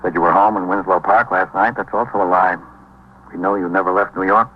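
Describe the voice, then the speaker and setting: A man acting a methodical police lieutenant from an old American radio drama. His voice is low and his questions come fast, clipped and formal. The sound is muffled, with faint noise. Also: steady tone, lightly acted. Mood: stern, accusing. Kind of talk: 1950s radio acting, interrogation. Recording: muffled, noisy 1950s radio recording.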